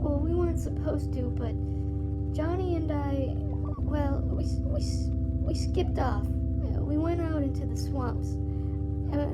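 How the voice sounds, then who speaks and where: An actress playing an evasive, melodramatic young damsel client in noir radio drama style, with a breathless, hesitant delivery and dramatic pauses. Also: female voice, sits high, poor audio.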